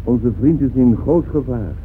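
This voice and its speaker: An actor playing an urbane, worldly gentleman in a radio play, speaking in a measured, theatrical way. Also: mid-range voice, natural voice. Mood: grave. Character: worldly Russian gentleman musician's brother, urbane then grave. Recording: tinny old radio recording, faint noise.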